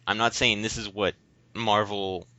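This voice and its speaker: Male podcast co-host, casual and rambling with halting explanations. Dry but dull, lo-fi recording. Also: on the low side, fairly even intonation, bright, forceful tone, no echo. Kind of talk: casual discussion of games.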